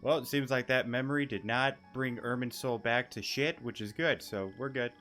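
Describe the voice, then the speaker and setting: A man's voice: mid-range voice, moderate pace, sharp tone, faint music behind. A young man casually commenting over a video game with sarcastic, crude asides. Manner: sarcastic, crude, offhand remarks. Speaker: gaming streamer.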